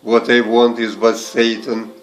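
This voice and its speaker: A man reading verse aloud in a measured recitation, in short deliberate phrases set apart by pauses. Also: medium pitch, monotone, soft background music, poor audio. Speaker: poetry reader and translator. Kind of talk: slow, measured poetry recitation.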